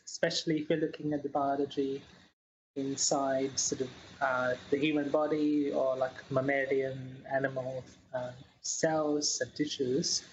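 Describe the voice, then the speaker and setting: A woman's voice: sits low, dull audio, slight background noise. A woman from New Zealand, a guest scientist, explaining her work in a casual interview with many hesitant fillers.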